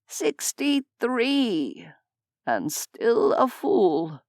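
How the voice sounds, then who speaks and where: A woman voicing a weary, wry old male medieval nobleman in an audiobook, speaking in a slow, measured, self-mocking way. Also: lowish voice, lively intonation, no room sound, studio quality. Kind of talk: audiobook character voice.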